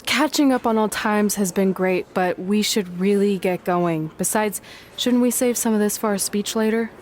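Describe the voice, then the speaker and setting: A woman's voice: medium pitch, normal speed, a little mellow, close to an everyday voice. An actress playing a driven, assertive young female indie wrestler in a video game cutscene. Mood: polite, impatient. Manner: assertive, challenging. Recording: clearly noisy ambience.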